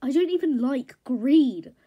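A woman voicing a spoiled, dramatic, easily offended mare in a children's horse story, with snobbish, drawn-out, indignant complaints. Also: high voice, lively intonation, husky, muffled recording, exaggerated voice. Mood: sulky, annoyed. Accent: put-on accent unlike the other characters'.

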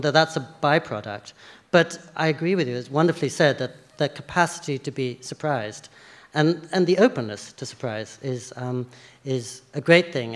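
A man in his sixties from England, an author talking on a festival stage into a stand mic, measured and eloquent. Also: on the high side. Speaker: author guest. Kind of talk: onstage literary conversation. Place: theater stage at a book festival, live audience.

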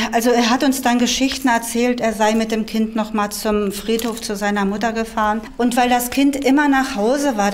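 A woman's voice: medium pitch, a little hiss or hum. A woman in her forties, a prosecutor, recounting events in a filmed interview indoors. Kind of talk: spoken interview recollection.

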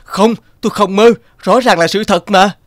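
An actor playing a greedy, excitable young peasant man in a fairy-tale cartoon, dramatic and given to exclaiming and pleading. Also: high voice, moderate pace, dry recording, close to an everyday voice. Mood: insistent. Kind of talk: animated fairy-tale character acting.

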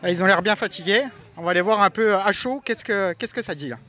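A man's voice: high voice, fast, sharp tone. Upbeat male race reporter. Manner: chatty, upbeat, teasing runners. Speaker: reporter filming a trail race. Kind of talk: casual on-the-spot reporting. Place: outdoors on a trail race course.

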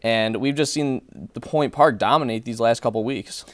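A man's voice: medium pitch, normal speed, no room sound. A young man working as a sports analyst in a small TV studio, breaking down games and players confidently and fluently. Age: young adult.

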